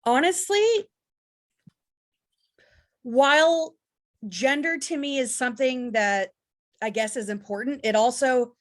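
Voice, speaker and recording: A woman's voice: high-pitched, slow, long pauses. A woman taking part in a workshop video call, speaking hesitantly and searching for her words. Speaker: workshop participant. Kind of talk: casual sharing on a video call.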